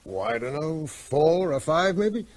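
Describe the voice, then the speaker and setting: An actor playing a harried, evasive vet and husband in a radio drama, placating and dismissive. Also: male voice, on the high side, expressive, measured pace. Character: evasive, harried male vet avoiding marital argument.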